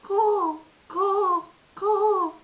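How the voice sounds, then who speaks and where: A woman voicing a female crow that caws loudly in a shrill, squawking way. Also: fairly even intonation, very slow, exaggerated voice. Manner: shrill loud cawing.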